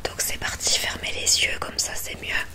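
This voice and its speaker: A woman whispering gently and breathily close to the mic, giving soothing, reassuring instructions in an ASMR medical exam roleplay. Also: moderate pace. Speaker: ASMR creator playing an examiner in a roleplay. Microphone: close ASMR microphone.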